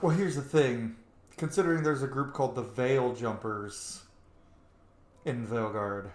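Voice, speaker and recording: A man's voice: medium pitch, unhurried, roomy sound. A man giving casual, chatty game commentary as a streamer, reacting aloud as the game unfolds. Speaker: gaming streamer.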